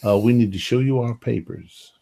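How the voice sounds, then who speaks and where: A man's voice: mid-range voice, moderate pace, vocal fry, mellow. A man playing a tabletop game, speaking politely and hesitantly with stammers. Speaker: player.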